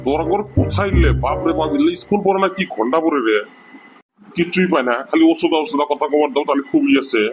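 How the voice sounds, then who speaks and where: A man's voice: on the high side, quick pace, faint music behind, lightly acted. A man voicing an exasperated, scolding village teacher. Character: exasperated middle-aged male village teacher.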